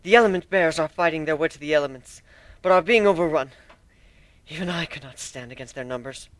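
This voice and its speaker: Man playing an exhausted storybook pony princess, grave, formal, slightly high voice, dry sound. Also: brisk, no room sound, close to an everyday voice. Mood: weary, grave. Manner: weary, formal.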